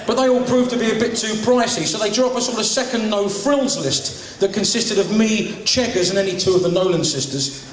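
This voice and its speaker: A fast-talking comedian working a crowded function room, his fairly high voice coming from some way off the mic. The hall rings with heavy echo, and a lively audience murmurs and laughs behind him. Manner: rapid-fire joke telling, voices characters in jokes. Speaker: stand-up comedian hosting a charity fundraiser. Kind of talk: stand-up comedy routine. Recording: distant, very echoey hall with crowd.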